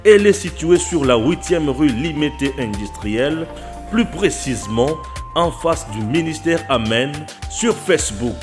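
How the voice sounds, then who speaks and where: A man's voice: a little high, big pitch swings, unhurried. A man reading a promotional shop advertisement in a formal, selling tone. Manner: formal, promotional address to listeners. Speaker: radio ad announcer. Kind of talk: scripted advertisement read. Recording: voice-over with loud backing music.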